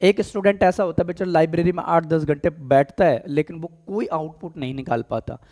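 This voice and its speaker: A male teacher with an Indian accent and a hoarse, worn voice that sits a little high. He speaks softly and deliberately, stops to clear his throat, and addresses his students with fond, familiar words. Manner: affectionate, calls students "beta", clears throat often; deliberately soft, slow speech. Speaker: online exam-prep English teacher. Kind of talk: live online class, Hindi-English mix.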